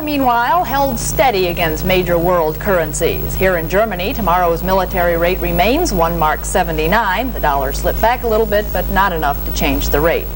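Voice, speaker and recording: An American woman anchors a television newscast, reading from a script in a formal, measured way. Her voice sits at a medium pitch. The recording is an archival late-seventies broadcast tape with faint hum and noise. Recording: noisy archival 1970s broadcast tape, humming.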